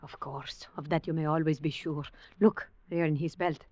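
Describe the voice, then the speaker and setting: An actress in a 1940s radio drama playing a bold, mysterious, seductive woman with stilted, exotic phrasing and a flattering manner. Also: low voice, moderate pace. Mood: admiring. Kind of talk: 1940s radio acting, melodramatic. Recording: dull, noisy restored 1940s radio recording.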